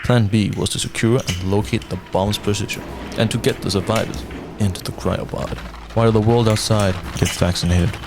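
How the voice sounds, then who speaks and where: A young man narrates a homemade radio drama in a measured storytelling voice, pitched on the low side. Loud music plays under his narration. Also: steady tone. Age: young adult.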